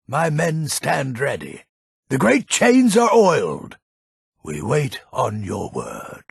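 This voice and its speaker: Gravelly, raspy grumpy old Nordic warrior. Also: male voice, mid-range voice, no echo, clean studio sound, exaggerated voice. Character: grumpy old fantasy Nordic man, proud and gruff. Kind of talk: video game character dialogue.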